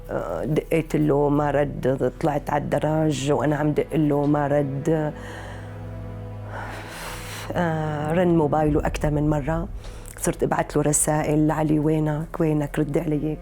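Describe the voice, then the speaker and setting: A woman with a Levantine Arabic accent giving personal testimony, her speech halting and broken by drawn-out pauses. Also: low-pitched, dark tone. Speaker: interviewee.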